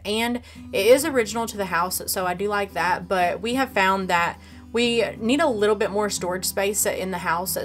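A woman vlogger giving a casual walkthrough of her farmhouse renovation, explaining her plans step by step, filmed on a handheld phone in an empty room. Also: medium pitch.

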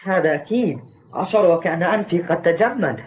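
A woman's voice: lowish voice, fast talker, a little mellow, highs cut off, natural voice. A woman playing a friendly young goatherd boy in a dubbed children's cartoon. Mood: matter-of-fact.

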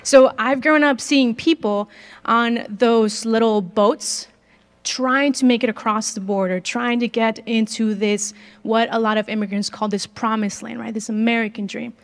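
A woman's voice: fairly high pitch, fairly even intonation, unhurried. Chatty young woman, Mexican accent. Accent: Mexican Spanish. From northern Mexico. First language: Spanish. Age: 20s. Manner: chatty, asks the audience questions. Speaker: guest speaker. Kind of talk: conversational sermon. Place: large school gym. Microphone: handheld mic.

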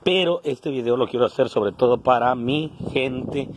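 A man's voice: medium pitch, normal speed, background noise. A man in his forties with a coastal Guerrero Mexican accent, talking casually and colloquially into his phone in an earthy, salty way. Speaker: vlogger. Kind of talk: casual selfie vlog monologue to camera. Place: outdoors on a roadside, starting indoors in a house. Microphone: phone at arm's length.

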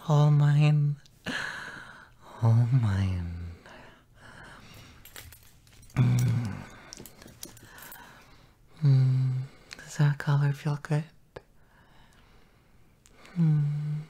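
A man playing a tender, possessive, gently dominant boyfriend in an intimate audio roleplay, often whispering in a soft, breathy murmur. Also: fairly high pitch, a little hiss or hum, exaggerated voice. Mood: tender, protective. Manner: slow, soft, murmuring with pauses.

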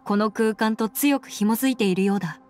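A woman's voice: mid-range voice, brisk, a little mellow, lightly acted. A woman playing a composed, earnest young female adviser in an anime game, with matter-of-fact, mannish phrasing over background music. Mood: alert.

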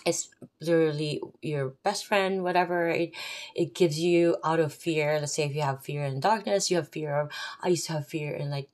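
A woman's voice: low voice, steady tone, moderate pace, darkish tone. A woman with a Filipino accent giving an unscripted, rambling talk about her faith to her viewers, with chatty asides. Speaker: faith vlogger. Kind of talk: unscripted religious talk to camera.

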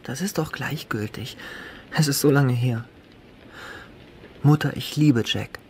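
An actor playing a sullen, defensive young son in a radio drama, evasive and defiant in short replies. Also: male voice, mid-range voice, slow, a little mellow, a little hiss or hum, lightly acted. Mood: pleading, defiant. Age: young adult. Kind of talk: German radio drama acting.

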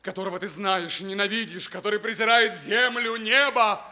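An actor playing an ardent, lovelorn, noble young prince in fervent, declamatory radio theatre. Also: male voice, high-pitched, steady tone, bright, forceful tone. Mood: anguished, pleading. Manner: declamatory, fervent, drawn-out delivery. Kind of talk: theatrical radio stage acting.

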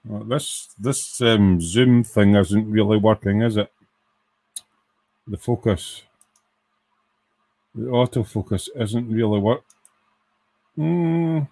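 A man's voice: on the low side, slow pace, gravelly, long pauses, warm tone. A man doing a casual live build of an electronics kit, thinking aloud and counting parts in a workshop. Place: workbench in a home workshop.

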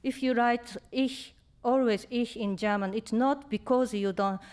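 A woman in her fifties from Japan with a Japanese accent, answering audience questions in English at a reading, halting and searching for words, on a stand mic. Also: a little high, darkish tone, smooth. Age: 50s. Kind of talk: live Q&A at a reading. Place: lecture room on stage. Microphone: stand mic in front.

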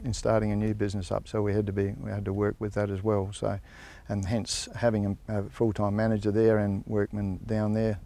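An Australian grain grower in his sixties answers interview questions outdoors on his farm, speaking through a clip-on mic. His voice is low, dark and heavily gravelly, with a flat, even delivery. He talks slowly and hesitantly, full of fillers. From South Australia. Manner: halting, unhurried, frequent fillers.

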